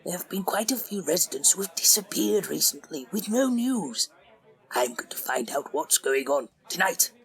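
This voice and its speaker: Creaky, stubborn old man. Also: on the high side, lively intonation, normal speed, fairly bright tone. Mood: earnest, suspicious. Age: older. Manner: earnest, determined. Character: stubborn, suspicious elderly man care-home resident. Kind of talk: audio drama acting.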